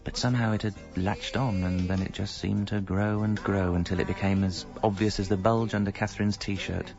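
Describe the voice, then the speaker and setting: A man's voice: on the low side, fairly even intonation, moderate pace, gravelly. An actor playing a middle-aged British married man who confesses his double life in a wry, articulate, self-mocking way, as radio comedy narration. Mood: wry, confessional. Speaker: narrator and lead character. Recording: dull lo-fi sound, faint music.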